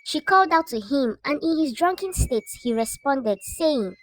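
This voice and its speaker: A woman narrating a scripted folktale as a storyteller, in a measured, dramatic way. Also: high voice, animated.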